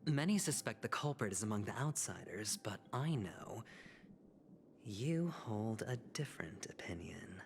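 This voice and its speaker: An actor playing a sly, smug gambler in a video game, talking in a smooth, provocative and insinuating way. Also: male voice, on the low side, expressive, measured pace, a little hiss or hum. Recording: game dialogue with music underneath.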